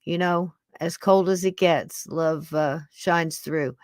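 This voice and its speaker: A woman in her sixties with a fairly low voice hosts a podcast. She chats in a folksy, affectionate ramble, recorded close and dry. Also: steady tone, slow pace, darkish tone, no room sound. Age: 60s. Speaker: podcast host. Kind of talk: casual chat to live listeners.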